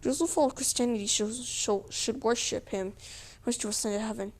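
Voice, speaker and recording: A woman delivering a mock emergency broadcast announcement in a halting way, repeating words. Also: a little high, steady tone. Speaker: narrator of a mock emergency alert.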